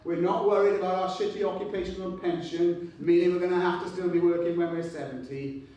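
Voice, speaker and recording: A man's voice: sits high, fairly even intonation, quick pace, mellow, muffled recording. Male speaker at a union meeting, rousing and fiery with rhythmic lists, distant in a very reverberant hall. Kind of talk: rally speech at a union fringe meeting. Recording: very echoey hall, picked up from afar.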